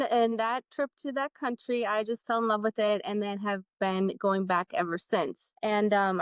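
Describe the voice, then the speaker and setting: A woman guest on a podcast talking about her group trips, friendly and eager, now and then pausing with an um. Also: on the high side, fairly bright tone, clean tone, no echo. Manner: friendly, eager, a bit hesitant with ums. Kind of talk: casual interview chat.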